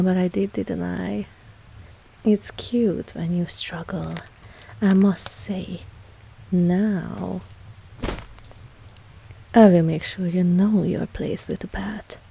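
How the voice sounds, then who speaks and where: A woman playing a seductive, possessive vampire mistress in an intimate ASMR audio roleplay, breathy and often whispering, teasing and commanding. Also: mid-range voice, dark tone, slight background noise, rough recording. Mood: pleased, possessive. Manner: slow, teasing, dominant, with pet names.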